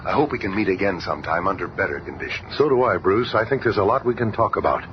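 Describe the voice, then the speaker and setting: An actor playing an earnest, courteous scientist in a 1970s superhero radio drama. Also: male voice, low voice, lively intonation, quick pace. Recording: dull, noisy 1970s radio recording.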